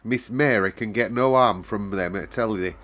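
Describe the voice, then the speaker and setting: A man reading aloud and voicing a practical middle-aged housekeeper, talking in a brisk, emphatic way. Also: lowish voice, a bit bright, natural voice. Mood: pleased, emphatic.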